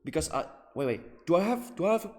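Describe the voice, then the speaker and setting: A man giving casual live gaming commentary, thinking aloud haltingly and repeating his phrases. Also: on the high side, very animated, darkish tone. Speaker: game streamer. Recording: roomy echo, faint game music behind.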